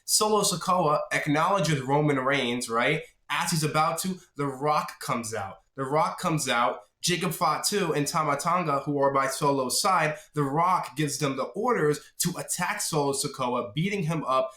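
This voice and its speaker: A man with a somewhat high-pitched voice that carries a slight rasp from a lingering sore throat. He talks informally to his viewers as a video host, laying out opinions in a casual, explanatory way. The recording is strongly echoey and roomy. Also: normal speed, few pauses, sharp tone. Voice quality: slightly raspy. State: getting over a sore throat. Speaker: host of a wrestling prediction video channel. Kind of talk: casual solo vlog commentary to viewers.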